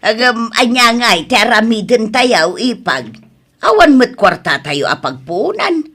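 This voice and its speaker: Bright, animated village club woman acted by a woman. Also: on the high side, a little slow, exaggerated voice. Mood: doubtful. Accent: Ilocano. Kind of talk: Ilocano comedy radio drama acting.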